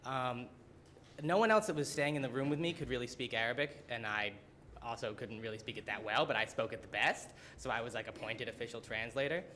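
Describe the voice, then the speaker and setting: A man's voice: medium pitch, fairly quick, sharp tone, slight background noise. Young man telling funny stories at a talk. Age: young adult. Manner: casual, many "like" fillers, laughing. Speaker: student presenter. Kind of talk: humorous storytelling talk. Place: college symposium room.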